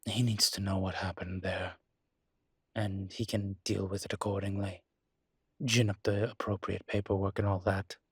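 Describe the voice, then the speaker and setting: Male actor as incubus demon, husky, fairly even intonation, wry, proud, articulate, intimate audio roleplay, dry sound. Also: low voice, dry recording. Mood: thoughtful, concerned. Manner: wry, articulate, unhurried emphatic phrasing. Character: suave adult male incubus demon, proud, wry, protective of his kind. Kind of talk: roleplay audio drama, intimate conversational monologue.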